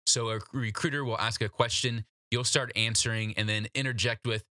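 A man's voice: mid-range voice, fairly even intonation, fairly quick, pushed and bright, dry recording, studio quality. A man hosting an instructional solo podcast, coaching the listener in a direct, practical, conversational way. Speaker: podcast host giving job interview advice.

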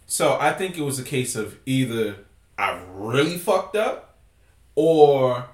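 A man hosting a casual podcast, talking in a loud, profane, joking way. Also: mid-range voice, big pitch swings, slow pace, echoey room. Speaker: podcast host.

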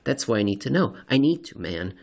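A man's voice: mid-range voice, very animated, a little fast, a little mellow. A man voicing a crazed, sleepless male conspiracy theorist in an audio story, rambling and earnestly paranoid. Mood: manic, earnest. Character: crazed sleepless conspiracy-obsessed male spaceship companion, manic.

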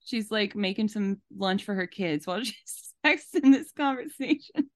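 A woman's voice: medium pitch, expressive, moderate pace, no room sound. Emphatic woman podcaster, dry close sound. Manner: emphatic, repeats phrases for stress. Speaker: podcast host. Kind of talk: casual commentary.

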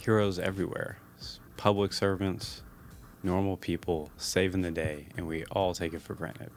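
A man's voice: deep voice, level tone, normal speed. A man co-hosting a casual podcast, chatty and upbeat, laughing easily as he shares stories. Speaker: podcast co-host.

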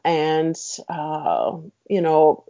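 A woman's voice: low-pitched, very animated, drawn-out words, warm tone, dry recording, muffled recording. A middle-aged woman, a clinical psychologist, chatting as a podcast guest in an easy, upbeat way. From Mexico City. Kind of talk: casual interview chat.

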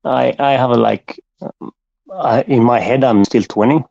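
A man in his forties, a guest on a podcast, speaking calmly and plainly, repeating words as he thinks. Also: medium pitch, unhurried, creaky, no echo, muffled recording. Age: 40s. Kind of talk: casual chat.

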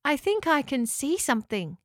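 A friendly female talking house from a storybook, acted by a woman, with a raised, animated voice. She sounds welcoming and helpful, in a close, dry recording. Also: high-pitched, normal speed, dry recording, pitched above the performer's own voice. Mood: calling out.

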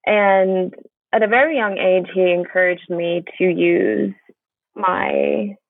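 A woman's voice: medium pitch, fairly even intonation, creaky, no room sound. Hesitant woman from Palestine, interview guest, dry close sound. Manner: hesitant, halting, with many fillers and restarts. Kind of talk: conversational interview. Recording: tinny phone-like line.

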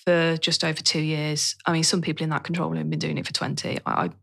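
A woman's voice: sits low, little pitch movement, fast, vocal fry, fairly bright tone. A British woman telling her own career story as an interview guest in a candid, colloquial and self-deprecating way. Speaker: interview guest, business owner. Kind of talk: conversational personal career story.

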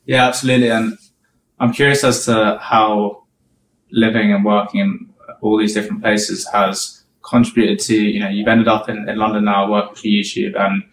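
A man interviews a guest as a podcast host. His voice is on the low side and fairly even. He is recorded a little far from the mic in an echoey space. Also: normal speed. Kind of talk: conversational interview questions. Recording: echoey, distant mic, faint noise.

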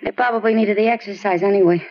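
A woman's voice: mid-range voice, steady tone, fast, close to an everyday voice. A woman playing a flippant, scornful runaway teenage girl in 1950s American radio drama, talking in slang. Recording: dull noisy old radio recording.

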